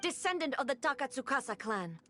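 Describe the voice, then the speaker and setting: A high-pitched, imperious woman ruler speaks with formal, cold command, like a divine warrior of a fantasy video game. Prominent game music plays behind her. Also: big pitch swings, brisk, pushed and bright, full character voice.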